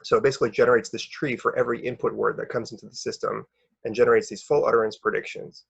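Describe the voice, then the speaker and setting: A man's voice: medium pitch, fairly even intonation, fast, gravelly, breathy edge. A young man giving an explanatory academic presentation as a researcher, in an informal, hesitant manner with repeated words, on a dull recording. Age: young adult. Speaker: researcher presenting a conference paper.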